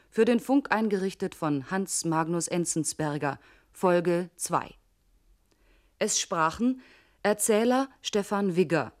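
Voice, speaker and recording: A woman reading scripted credits as a radio announcer. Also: lowish voice.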